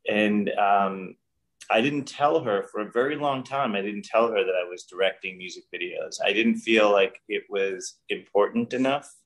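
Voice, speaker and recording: A middle-aged man speaks in a lowish, unhurried voice, reflecting earnestly and often restarting his sentences. He is talking from a room at home over a webcam call. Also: rough recording. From New York. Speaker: guest director. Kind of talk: casual interview. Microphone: video call webcam.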